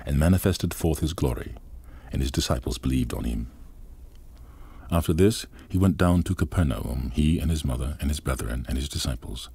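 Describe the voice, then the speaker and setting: A man reading scripture aloud in a solemn, deliberate manner. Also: very deep voice, creaky, dark tone, slightly rough. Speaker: Bible reader.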